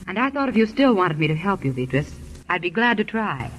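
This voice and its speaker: A woman's voice: on the low side, very animated, fairly quick, fairly bright tone. A woman acting a curious, determined married heroine in a vintage radio drama, with an eager, emphatic delivery. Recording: muffled, noisy old radio broadcast.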